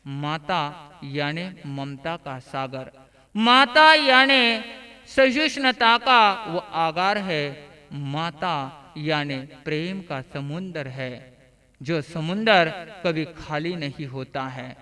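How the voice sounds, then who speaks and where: A man's voice: on the high side, expressive, slow, bright, forceful tone. A man delivering a devotional sermon as a preacher, in an emphatic, oratorical manner with phrases that swell and fall away. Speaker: religious preacher. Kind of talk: devotional sermon with illustrative stories.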